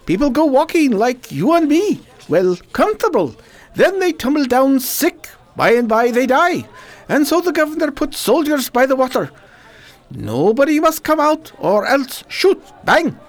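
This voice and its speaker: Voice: high-pitched, sing-song, unhurried. An actor playing a talkative bazaar shopkeeper in a radio drama, with a foreign accent and broken, emphatic English. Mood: agitated, emphatic. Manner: broken English, short emphatic repeated phrases.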